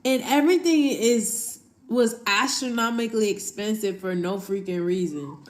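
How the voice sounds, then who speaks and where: A woman talking to her camera in a loose, easygoing chat. She gets lively and peppers her speech with "like". Her pitch sits in an ordinary middle range. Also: moderate pace. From United States. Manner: animated, heavy use of "like". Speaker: vlogger. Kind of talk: casual chat.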